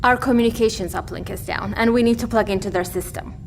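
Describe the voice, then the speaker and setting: A woman's voice: mid-range voice, fast, gravelly, a little hiss or hum, natural voice. An actress playing a resolute, protective young mother and leader, firm and decisive, in an audio drama. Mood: determined.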